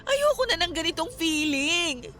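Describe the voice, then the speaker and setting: Frightened young woman, high bright voice. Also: big pitch swings, moderate pace, heavily acted. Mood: frightened, tearful. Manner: tearful, distressed. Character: exhausted, frightened young female vlogger haunted by spirits. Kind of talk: Filipino radio drama acting.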